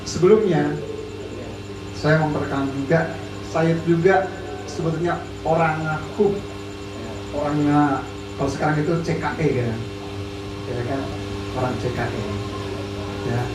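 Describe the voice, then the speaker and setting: A man from West Java speaks casually to a meeting, his voice set a little high and padded with friendly fillers. He sounds far from the mic in a strongly echoing room. Music plays clearly behind him. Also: noisy background. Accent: Indonesian, not typically Sundanese. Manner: chatty, frequent "ya kan" and "nah" fillers. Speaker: army district officer addressing a radio community meeting. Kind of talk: informal speech to a gathering.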